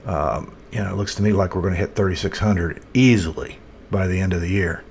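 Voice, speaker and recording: Male market analyst, low-pitched, off-the-cuff and halting chart walkthrough, some background noise. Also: gravelly, darkish tone. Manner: halting, thinking aloud. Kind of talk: casual unscripted chart commentary.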